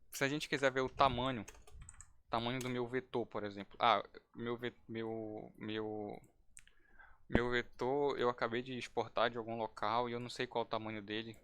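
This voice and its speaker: A man's voice: mid-range voice, fairly bright tone, clean tone. Casual man teaching coding. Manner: casual, thinking aloud with restarts. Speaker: instructor. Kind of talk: live-streamed coding lesson.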